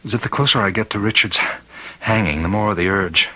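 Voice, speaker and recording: Husky, anguished prison warden. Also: male voice, fairly low pitch, pushed and bright, low-quality recording. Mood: desperate, menacing. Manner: halting, anguished, repeating himself. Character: tormented prison warden, husband fearing he is possessed. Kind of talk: old-time radio drama acting. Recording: vintage radio drama recording.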